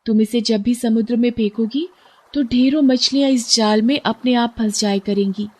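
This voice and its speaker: A woman's voice: a little high, normal speed, warm tone, voice pushed up, natural voice. A woman voicing an earnest, sweet mother fish with magical powers in a children's moral story. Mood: grateful, kind. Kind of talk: moral storybook cartoon voice acting.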